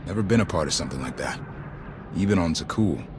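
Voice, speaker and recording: A man playing a loyal, easygoing, roguish starship pilot in a video game, speaking in a casual, expressive way. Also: deep voice, fast, background noise. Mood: sincere, wry. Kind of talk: video game drama acting.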